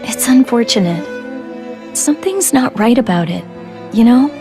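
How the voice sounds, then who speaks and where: A woman voicing a caring, older-sister female soldier in an English game dub, with a reassuring, steady manner. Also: on the high side, normal speed, over music. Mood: concerned.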